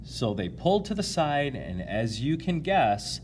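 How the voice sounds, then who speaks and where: A man's voice: mid-range voice, sing-song, measured pace, a little mellow, a little hiss or hum. A man reading a picture book aloud to young listeners, with casual asides. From United States, Illinois.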